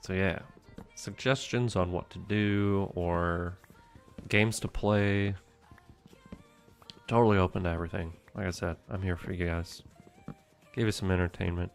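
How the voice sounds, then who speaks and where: A young man streaming a game from home, chatting with his viewers in a soft, meandering way. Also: low-pitched, fairly even intonation, unhurried, vocal fry, long pauses, soft background music. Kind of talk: casual gameplay commentary.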